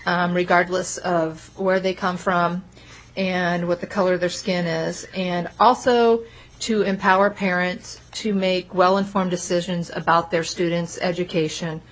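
Loud-voiced woman legislator. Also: lowish voice, flat delivery, a little slow. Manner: loud, projecting voice. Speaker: state legislator. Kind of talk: committee introduction speech. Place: legislative committee room.

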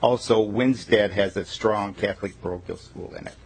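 A man's voice: lowish voice, moderate pace, darkish tone, husky, poor audio. A male state legislator introducing himself at a committee meeting, folksy and emphatic with joking asides.

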